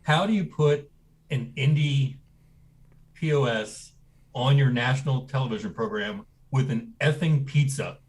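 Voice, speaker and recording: A young man from Texas talks with a blunt, ranting edge. His voice sits at an ordinary middle pitch, and he speaks in easy, casual podcast banter full of strong opinions. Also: unhurried, rough recording. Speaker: podcast co-host.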